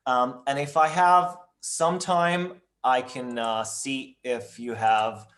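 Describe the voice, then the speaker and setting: A man presenting university admissions information in a webinar over a video call, speaking in a measured way with frequent ums and uhs. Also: medium pitch, steady tone, a bit bright.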